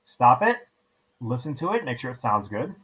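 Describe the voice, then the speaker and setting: A man's voice: medium pitch, big pitch swings, moderate pace, a bit bright, slightly rough, poor audio. A man teaching in an informal screencast style, explaining step by step, repeating himself and often checking in with "right?". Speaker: teacher giving a software tutorial. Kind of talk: screen-recording tutorial, casual step-by-step instruction.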